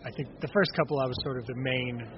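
A man in his thirties with a raspy speaking voice, a singer-songwriter answering interview questions in a halting way with many restarts. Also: mid-range voice, fairly even intonation. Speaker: bluegrass singer-songwriter, guest. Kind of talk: casual interview talk. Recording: dull lo-fi audio with clear noise.

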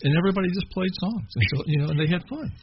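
A man's voice: high-pitched, expressive, rapid-fire. A man from Kentucky interviewing a musician in casual chat, rambling with many fillers and restarts. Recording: dull lo-fi with clear noise.

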